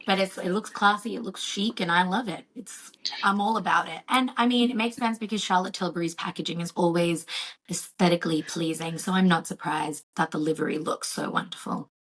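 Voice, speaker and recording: A young woman co-hosting a podcast as a content creator, slightly croaky after karaoke and enthusiastic in casual chat. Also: on the low side, steady tone, fairly bright tone, low-quality recording. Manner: enthusiastic, quick to agree.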